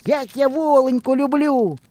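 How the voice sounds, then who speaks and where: A man's voice: very high-pitched, unhurried, mellow, pitched above the performer's own voice. A man voicing a plucky, mischievous little boy hero in a children's storybook, eager and exclamatory. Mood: joyful.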